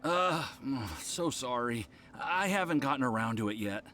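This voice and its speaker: A man's voice: mid-range voice, animated, normal speed, bright, forceful tone. A man voicing a hesitant, self-doubting young alchemy apprentice in an anime video game. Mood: flustered. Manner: hesitant, flustered. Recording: faint music behind the voice.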